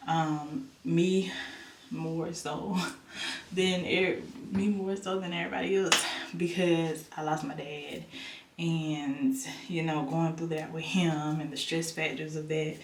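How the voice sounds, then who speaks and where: A woman's voice: deep voice, fairly even intonation, slow pace, reverberant, a little hiss or hum. A young woman vlogging at home, talking casually and rambling with many ums and repeats, her voice stuffy and nasal. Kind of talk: casual vlog chat. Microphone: camera on a stand.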